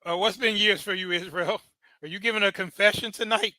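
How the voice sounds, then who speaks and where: A high, bright male voice comes through crisp and dry, right up on the mic. He talks casually over a video, stammering and restarting his words and tossing in chuckling asides. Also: fairly even intonation, fairly quick, no echo. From United States. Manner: chatty, stammering, laughing asides. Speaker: podcast host reacting to a video. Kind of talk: casual live commentary.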